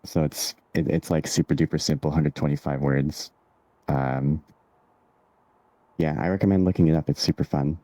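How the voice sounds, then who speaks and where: Super deep voiced co-host guy, laid back and halting, dry close sound. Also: fairly even intonation, dark tone, no echo, poor audio. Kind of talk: casual livestream chat.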